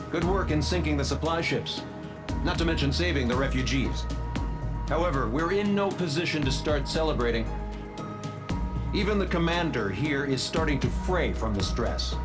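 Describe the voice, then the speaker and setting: An actor playing a frank, grim military officer delivering a briefing in a video game. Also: male voice, a little high, lively intonation, moderate pace, pauses often, natural voice. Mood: sober, frank. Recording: loud music over the voice.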